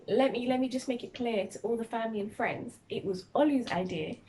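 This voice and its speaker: A young woman from Mauritius chatting casually with her husband, teasing and animated as she tells their story. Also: on the high side, fairly quick, a little hiss or hum. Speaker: vlogger. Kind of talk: casual chat.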